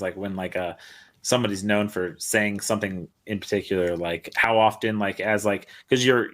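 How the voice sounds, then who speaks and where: A man's voice: lowish voice, fairly bright tone. A man co-hosting a podcast, asking rambling, hesitant questions in a casual way. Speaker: podcast co-host, interviewer. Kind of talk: casual chat.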